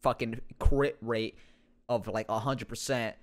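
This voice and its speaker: A man's voice: mid-range voice, fairly even intonation, unhurried. A young adult man giving casual, joking reaction commentary as a streamer, slangy, sweary and rambling, close on a microphone in a room at home. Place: small room indoors at home. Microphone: close boom-arm microphone with headset. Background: game trailer music.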